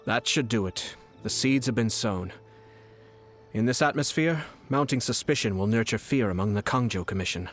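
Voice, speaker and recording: A man voicing a poised, shrewd nobleman in a video game, measured, formal and self-assured. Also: mid-range voice, lively intonation, a bit bright, soft background music, close to an everyday voice. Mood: calm, calculating. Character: poised, scheming young nobleman, calm and shrewd.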